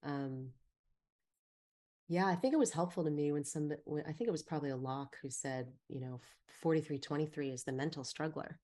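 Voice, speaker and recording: Female podcast guest, low-pitched voice, casual and reflective conversational delivery. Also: a little fast, warm tone. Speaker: podcast guest, Human Design teacher.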